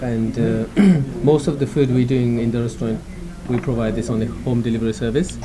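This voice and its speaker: A man in his thirties from Mauritius, answering interview questions in a small restaurant, hesitant with many pauses and throat clears. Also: mid-range voice, steady tone, a crowd in the background, low-quality recording. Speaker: restaurant owner. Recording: background music.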